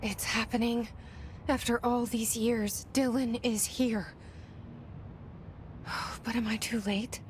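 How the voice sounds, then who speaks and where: Game inner monologue: guarded young woman, unhurried, hushed and introspective. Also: a little high, level tone, slight background noise. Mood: anxious, wondering.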